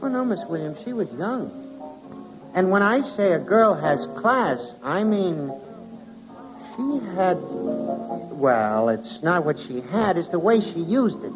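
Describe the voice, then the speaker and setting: Friendly slangy bartender, high-pitched, earnest and folksy, 1940s radio with music. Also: male voice, very animated, slow, exaggerated voice, low-quality recording. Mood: earnest, admiring. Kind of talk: 1940s radio drama acting. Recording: old radio broadcast recording.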